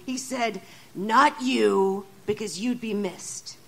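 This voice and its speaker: A woman's voice: medium pitch, slow, gravelly. A woman playing a saucy, coarse Victorian streetwalker in an audio drama, cheeky and teasing. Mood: brash, gossipy.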